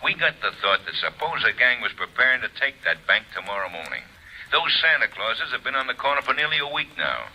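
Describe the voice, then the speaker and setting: An actor playing a brusque, impatient police inspector in old radio drama. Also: male voice, mid-range voice, very animated, a little fast, pushed and bright, husky, full character voice, low-quality recording. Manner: brusque, blunt. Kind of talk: 1940s radio acting.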